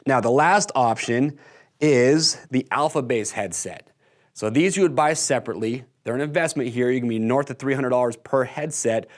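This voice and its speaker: A man's voice: medium pitch, moderate pace. An American man in his thirties giving an enthusiastic, casual product walkthrough to camera in a conversational sales style. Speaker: product video presenter. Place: indoor workshop-style studio set.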